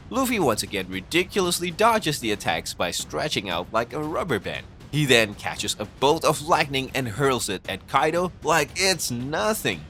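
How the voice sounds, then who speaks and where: A man's voice: mid-range voice, pushed and bright. A man reading scripted narration for an explainer video, recorded as a voice-over. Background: background music.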